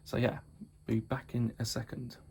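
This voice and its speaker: A slightly croaky man chatting casually as he shows off and tests a model locomotive, repeating his praise and filling pauses with ums. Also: medium pitch, little pitch movement, a little mellow, slight background noise. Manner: chatty, repeats praise words, many fillers. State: croaky from hay fever and little sleep. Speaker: model railway hobbyist reviewer. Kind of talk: casual unboxing and test-run commentary.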